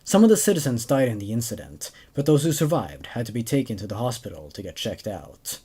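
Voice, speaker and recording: A man in his twenties with an expressive, emphatic way of talking, hosting horror stories. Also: medium pitch, a little fast, husky. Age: 20s. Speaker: host reading horror stories. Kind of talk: dramatic story narration, then casual commentary.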